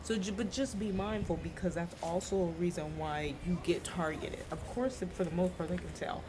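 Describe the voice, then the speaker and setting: A woman's voice: fairly low pitch, steady tone, moderate pace. An American woman giving casual travel advice to the camera in an informal, emphatic, advisory way. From United States. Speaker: travel vlogger. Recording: clear background ambient noise.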